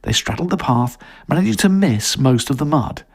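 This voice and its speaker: A man narrates a short story in a highish, very lively voice that swings around in pitch. There is gravel and husk in his tone as he delivers the scripted prose. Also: normal speed.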